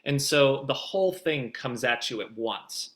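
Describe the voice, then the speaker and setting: A man's voice: medium pitch, lively intonation, unhurried, a bit bright. A man giving conversational expert advice as a guest producer, in an explanatory, emphatic way.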